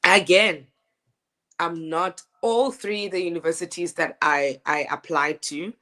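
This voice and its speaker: A woman with a deep speaking voice, an opera singer telling her story in a lively, laughing, stop-start way. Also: measured pace. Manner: animated, laughing storyteller with frequent restarts. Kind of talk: casual interview chat.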